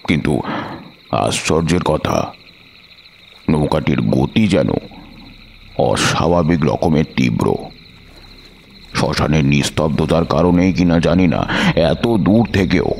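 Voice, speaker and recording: A man narrating a suspense story in a measured, literary and dramatic manner. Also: a bass voice, creaky, darkish tone. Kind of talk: dramatic scripted first-person story narration. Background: background music.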